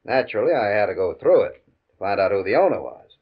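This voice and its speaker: Casting: oily racketeer boss, low-pitched and animated, mock-polite menace, old-time radio crime drama, muffled recording. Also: male voice, quick pace, husky. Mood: smooth, sly. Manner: mock-polite, controlling, taunting. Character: smooth, menacing gambling boss blackmailing a professor. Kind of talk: 1950 radio drama acting.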